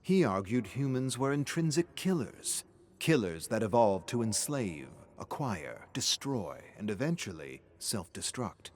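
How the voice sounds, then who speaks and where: Low-voiced man narrating dark horror. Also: very animated, unhurried, slight background noise.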